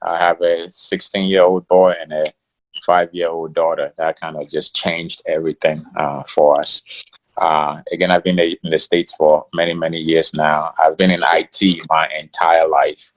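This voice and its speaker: Man, very low voice, middle-aged, from Ghana, relaxed interview guest, frequent fillers. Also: moderate pace, rough recording. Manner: relaxed, many fillers like "you know". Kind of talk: casual interview talk.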